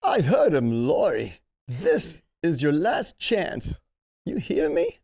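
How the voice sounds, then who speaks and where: Actor doing a gravelly mob boss, husky, threatening, pitch jumps all over, comedy table read. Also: big pitch swings, takes it slowly, darkish tone, full character voice. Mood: menacing, impatient. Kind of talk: table reading of a comedy script.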